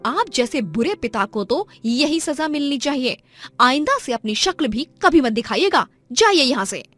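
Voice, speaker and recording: A woman's voice: high-pitched, animated, moderate pace, a bit bright, faint music behind, pitched above the performer's own voice. A woman voicing a stern grown daughter in a moral story, speaking in a cold, firm, scolding manner. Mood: cold scorn. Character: stern grown daughter rejecting her bad father.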